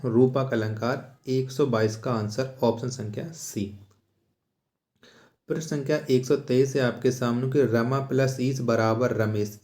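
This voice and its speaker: Male exam coach, soft-edged warm tone, question-then-answer teaching with repeated key words, noticeably reverberant room. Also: medium pitch. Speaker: exam-prep Hindi grammar teacher. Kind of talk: instructional question-and-answer walkthrough. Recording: roomy, echoing sound.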